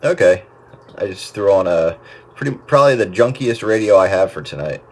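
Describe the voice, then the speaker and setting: Man, lower-pitched, animated intonation, casual radio chat, very loud static. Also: fairly quick. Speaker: radio hobbyist. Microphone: radio microphone.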